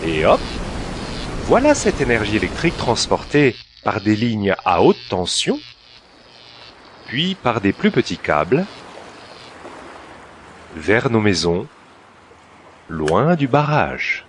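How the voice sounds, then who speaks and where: Male narrator for a kids' educational cartoon: slow, deliberate storytelling with highly varied intonation, over clear background noise. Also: wildly swooping pitch. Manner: storytelling, deliberate with dramatic pauses.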